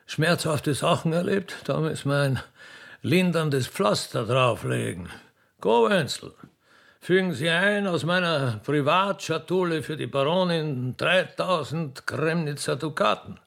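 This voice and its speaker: A man with an Austrian accent playing a gracious old emperor who speaks in a halting, courtly manner. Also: a little high, expressive, gravelly, a bit bright, pitched above the performer's own voice, heavily acted. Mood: benevolent.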